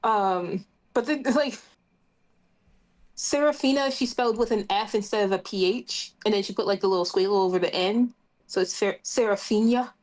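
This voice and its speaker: A woman's voice: medium pitch, moderate pace, darkish tone. A woman chatting casually and playfully as a player in an online tabletop roleplaying game.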